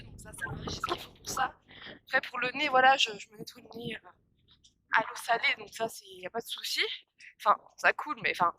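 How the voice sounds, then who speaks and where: A woman's voice: high voice, creaky, a bit bright, rough recording. A young woman vlogging casually to her phone on a windy outdoor walk, tired and stuffy from pollen allergies, rambling with many fillers and restarts. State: congested from pollen allergy, coughing. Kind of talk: casual vlog chat to camera. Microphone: phone held at arm's length.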